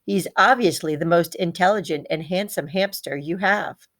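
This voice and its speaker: Woman reader as a warm, encouraging lady schoolteacher. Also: lowish voice, normal speed. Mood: warm, admiring. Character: warm, encouraging female schoolteacher adopting a pet. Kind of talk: children's storybook read-aloud dialogue.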